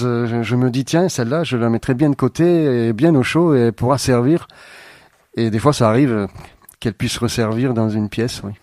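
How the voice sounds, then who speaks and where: A man guesting on a radio show, answering thoughtfully with frequent pauses and fillers. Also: medium pitch, a little mellow, dry recording, clean studio sound. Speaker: playwright guest. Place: radio studio.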